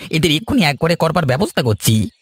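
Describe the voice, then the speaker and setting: A man's voice: fairly high pitch, very animated, fast talker, breathy edge, no echo. A man playing a busy, helpful and honest village organizer in a cartoon. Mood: respectful. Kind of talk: Bengali village cartoon voice acting.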